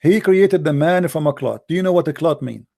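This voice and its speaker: A man's voice: a little high, fairly quick, gravelly, warm tone. A middle-aged man with an Arabic accent, a native Arabic speaker, hosting a heated religious debate and pressing his points with repeated phrases. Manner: insistent, lecturing, repeats points. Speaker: host.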